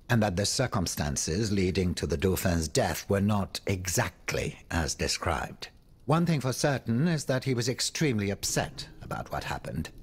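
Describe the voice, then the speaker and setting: Husky, theatrical French aristocrat. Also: male voice, on the low side, exaggerated voice. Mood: grave, helpful. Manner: theatrical, courtly, swinging between exclamation and confiding murmur. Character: urbane male French aristocrat, worried, wry, world-weary. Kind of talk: dramatic video game dialogue.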